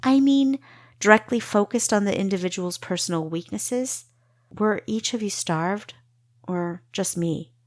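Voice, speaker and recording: A woman's voice: medium pitch, a little slow, no echo, clean studio sound, natural voice. A woman voicing a wary young female spy trainee, asking pointed questions in an audiobook. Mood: questioning.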